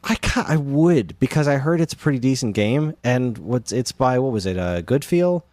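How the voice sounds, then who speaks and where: A man's voice: mid-range voice, lively intonation, moderate pace, darkish tone, no room sound, clean studio sound. A man co-hosting a podcast, chatting casually about games in an enthusiastic, rambling, informal way. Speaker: podcast co-host.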